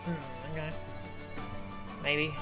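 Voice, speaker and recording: Woman streamer over loud game music. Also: low voice, steady tone, very slow. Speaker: game livestreamer. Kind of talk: casual live gameplay commentary. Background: video game music.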